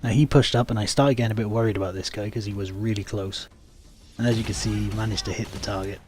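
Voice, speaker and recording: A man's voice: on the low side, fairly even intonation, fast talker, a little mellow, a little hiss or hum. A man casually narrating his own video game playthrough, explaining each move in a matter-of-fact way. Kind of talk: casual game commentary, recounting moves.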